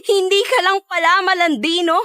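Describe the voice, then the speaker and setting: A woman's voice: very high voice, bright, forceful tone, no echo, studio quality, full character voice. A Filipino woman acting in a radio drama as a wronged, haughty wife, indignant, biting and confrontational.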